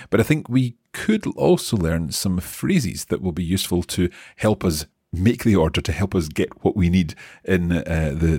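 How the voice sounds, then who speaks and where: A man's voice: deep voice, a little mellow, breathy edge, no echo, clean studio sound. A man hosting a language lesson as a learner, talking hesitantly with many restarts and asking curious questions. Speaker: language podcast host, learner. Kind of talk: casual language lesson chat.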